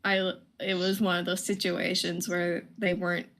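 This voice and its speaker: A young adult woman with an American accent chatting casually on a livestream, retelling things in an animated way with acted-out dialogue. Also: lowish voice, moderate pace.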